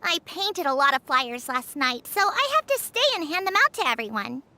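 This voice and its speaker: Tiny game creature: very high, animated, childlike, sweetly earnest and hopeful. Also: female voice, moderate pace, full character voice. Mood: earnest, determined. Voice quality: childlike, squeaky. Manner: earnest, innocent. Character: small, earnest, hopeful female Melusine creature. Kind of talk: video game character acting.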